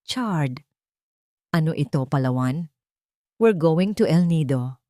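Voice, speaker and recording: A woman with a Filipino accent voicing an affectionate wife in a romantic audio story, in an expressive manner. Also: low voice, slow, no room sound, clean studio sound. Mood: surprised, excited. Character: affectionate young wife, delighted and wistful.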